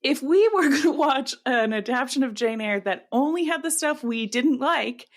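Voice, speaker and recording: A woman's voice: sits high, expressive, moderate pace. A woman co-hosting a podcast in casual chat, with a jokey, self-deprecating way of talking. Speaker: podcast co-host.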